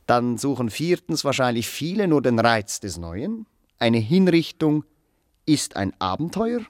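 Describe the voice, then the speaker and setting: An actor playing a pedantic, self-important musician in a radio play, wordy and hesitant, setting things out with clinical precision. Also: male voice, medium pitch, no echo, studio quality. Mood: self-justifying. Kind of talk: naturalistic radio play dialogue.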